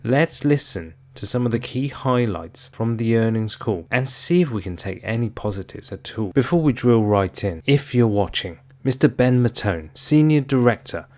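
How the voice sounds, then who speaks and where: Guy doing investor commentary, emphatic and fed up, keeps asking rhetorical questions, average pitched voice. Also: animated, normal speed, hardly any pauses, darkish tone. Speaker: host of an investor commentary channel. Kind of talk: opinionated commentary to viewers.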